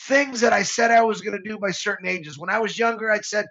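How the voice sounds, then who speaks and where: A man's voice: high voice, steady tone, quick pace, fairly bright tone, dull audio. A man in his fifties, co-hosting a live stream, talking in a heartfelt, rambling way with lots of restarts. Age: 50s. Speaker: co-host of a live show. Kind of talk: casual live-stream talk.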